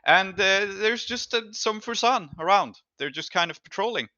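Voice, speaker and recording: A man's voice: sits high, expressive, normal speed, bright, forceful tone, no room sound, dull audio. A man improvising narration as the game master of a tabletop role-playing game.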